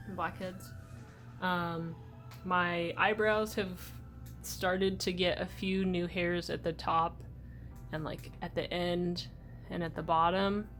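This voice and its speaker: A young man talking casually in a video diary update, chatty and relaxed, with many "like" and "um" fillers. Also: a little high. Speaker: vlogger.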